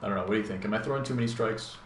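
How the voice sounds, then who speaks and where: A man with a deep voice hosting a gaming channel, thinking aloud in a laid-back, rambling way with plenty of fillers while he plays. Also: racing. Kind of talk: casual live gameplay commentary. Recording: echoey room with background noise.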